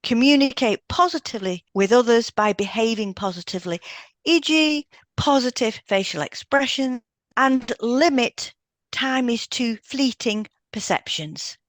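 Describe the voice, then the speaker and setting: A woman's voice: on the high side, expressive, unhurried, dry recording. Woman reading instructional podcast script, dry close sound. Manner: reads out step-by-step instructions with brief asides. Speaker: podcast co-host, hypnotherapist.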